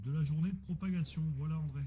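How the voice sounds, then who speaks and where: A man's voice: fairly high pitch, fast. A man talking casually as an amateur radio operator over a radio link, repeating himself with frequent tag words. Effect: radio transmission. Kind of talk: casual radio contact chat. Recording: muffled radio signal, faint static.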